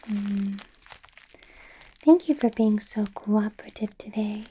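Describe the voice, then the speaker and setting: A woman's voice: mid-range voice, a little hiss or hum, lightly acted. A woman whispering as a possessive, menacing female vampire boss in an ASMR roleplay, sweet on the surface with a threatening edge. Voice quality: soft unvoiced whisper.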